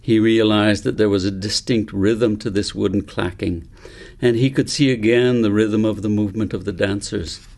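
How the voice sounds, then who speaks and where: Gravelly, low-voiced man reading a novel. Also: steady tone, normal speed, darkish tone. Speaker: author reading his novel. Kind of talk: scripted audiobook narration.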